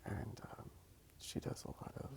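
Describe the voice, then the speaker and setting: A man speaking softly in a casual, rambling ASMR style as he draws. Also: unhurried. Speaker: ASMR video creator. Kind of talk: whispered ASMR rambling while drawing.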